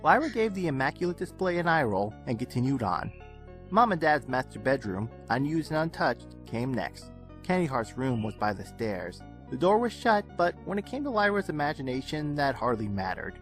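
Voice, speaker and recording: Lively male story narrator over music. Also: mid-range voice, normal speed. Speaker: narrator of a fan fiction audio reading. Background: soft game music.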